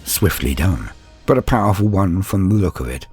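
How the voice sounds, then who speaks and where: A male storyteller with a low, husky voice delivers scripted narration in a theatrical, courtly manner. His pitch swings widely as he performs, and music plays behind him. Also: expressive, moderate pace, darkish tone, dry recording. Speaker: storyteller and channel host. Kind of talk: dramatic first-person story narration and scripted channel address. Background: background music.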